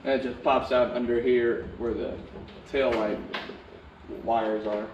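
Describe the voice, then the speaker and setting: A man narrating a DIY vehicle install in a relaxed, casual way, talking to himself as he works. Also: medium pitch, unhurried, background noise. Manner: laid-back, talks to himself while working. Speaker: DIY vlogger. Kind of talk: casual how-to narration while working. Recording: roomy, distant, dull camera audio.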